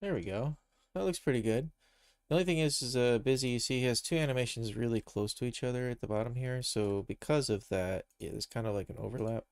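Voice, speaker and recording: A man with a creaky, gravelly voice hosts an informal tutorial stream. He thinks aloud, explaining step by step in a casual way. The sound is dry and close. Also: medium pitch, fast, a little mellow, no echo. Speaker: livestream host, game developer tutor. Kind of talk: casual live software tutorial.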